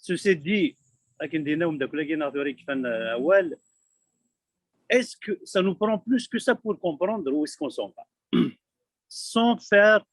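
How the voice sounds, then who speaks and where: A man from Kabylie speaking abroad, giving an urgent, halting testimony full of repeated words. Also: fairly high pitch, expressive, dry recording. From Kabylie, Algeria. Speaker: community member abroad. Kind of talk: impassioned testimony.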